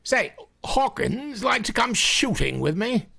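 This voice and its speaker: Gravelly, cocksure farmer, highly animated. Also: male voice, slow pace, slight background noise, full character voice. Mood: hearty, cocky. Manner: hearty, dismissive. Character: jovial, scoffing, cocksure farmer neighbour. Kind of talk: vintage radio drama acting.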